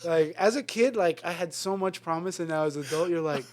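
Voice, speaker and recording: Wry man with a higher voice, laughing often. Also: fairly quick. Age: 30s. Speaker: podcast co-host. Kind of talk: casual chat.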